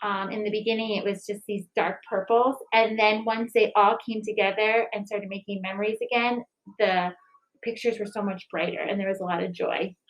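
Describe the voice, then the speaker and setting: A woman in an expressive, deliberate read-aloud style, like school staff sharing a picture book and news with families. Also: mid-range voice, poor audio. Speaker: school staff member reading aloud and presenting a recipe book project. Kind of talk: children's storybook read-aloud and school announcement.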